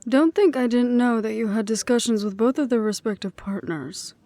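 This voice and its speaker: A woman playing a wry, affectionate ex-hunter in an intimate audio roleplay, speaking softly and teasingly, with breathy asides. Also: on the high side, moderate pace, no echo, natural voice. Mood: teasing, fond. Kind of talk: intimate one-sided audio roleplay acting.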